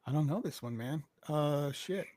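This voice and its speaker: A man co-hosting a casual podcast, loud, with quick exclamations and swearing. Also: fairly high pitch, dry recording, studio quality. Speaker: podcast co-host. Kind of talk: casual chat.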